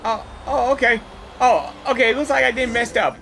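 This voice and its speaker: A woman's voice: fairly high pitch, brisk, gravelly, background noise. A woman reacting casually and live as she plays a video game, often repeating short phrases, with game music behind her.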